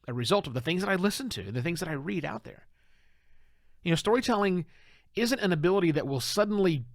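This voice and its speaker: A middle-aged man speaks as a podcast host in a very animated, fairly high voice that moves widely in pitch. He delivers conversational advice with emphatic storytelling stresses and dramatic pauses. The recording is dry and close, with little room sound. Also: dry recording.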